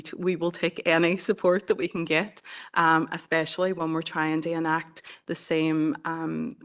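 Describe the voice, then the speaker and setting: Northern Irish woman, 40s, charity advocate, forthright, low level delivery. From Northern Ireland. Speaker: charity representative. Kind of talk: addressing a council committee.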